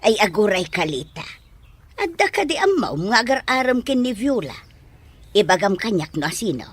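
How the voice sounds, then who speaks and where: A woman's voice: mid-range voice, very animated, normal speed, slightly rough. A woman with an Ilocano accent playing a stern, prying, disapproving middle-aged village mother in an audio drama, blunt and questioning. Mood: suspicious. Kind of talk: Ilocano radio-style drama acting.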